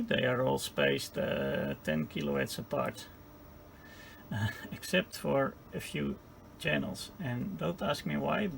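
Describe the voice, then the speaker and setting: A man giving an informal hands-on technical tutorial, speaking haltingly as he searches for words. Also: mid-range voice, vocal fry, a little hiss or hum. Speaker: presenter of a radio repair tutorial.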